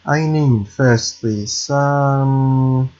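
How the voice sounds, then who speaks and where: Guy slowly talking thru his coding, hesitant, keeps correcting himself, kinda dull lo-fi mic. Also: medium pitch, darkish tone. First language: Russian. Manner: hesitant, self-correcting, apologetic. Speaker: hobby game programmer. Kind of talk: thinking aloud while screen recording.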